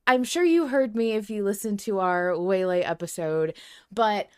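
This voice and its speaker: A woman hosting a casual fan podcast, talking in an excitable, emphatic, sweary way. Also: mid-range voice, normal speed, clean tone. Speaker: podcast host.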